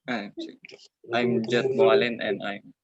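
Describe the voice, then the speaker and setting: A man's voice: lowish voice, level tone, unhurried, muffled recording, a loud crowd. A nineteen-year-old man with a Filipino accent introducing himself in an online class, brief and polite. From Baguio, Philippines. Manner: brief, polite, answers with "sir". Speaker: student. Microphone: video call.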